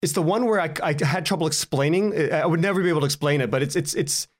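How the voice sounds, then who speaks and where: Halting, slightly higher-voiced man, interview guest. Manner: halting, rambling, self-interrupting with repeated words. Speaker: podcast guest, writer and podcaster. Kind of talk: casual interview conversation.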